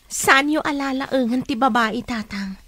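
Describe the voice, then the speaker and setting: High-voiced earnest young Ilocano woman. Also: measured pace, a bit bright, dry recording. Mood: concerned, questioning. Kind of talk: Ilocano radio drama acting.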